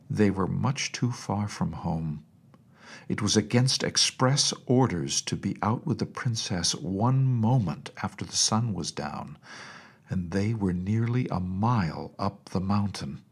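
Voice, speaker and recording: A man reading a classic children's story as an audiobook narrator, in a measured and expressive way. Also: sits low, creaky, husky.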